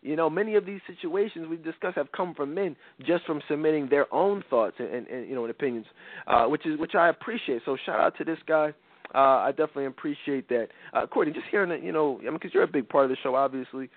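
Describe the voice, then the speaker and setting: A man's voice: fairly high pitch, fast, no room sound. A man hosting a talk show, congested from a cold, rambling with many restarts. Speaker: podcast host. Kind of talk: casual talk show chat. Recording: tinny phone-like dry sound.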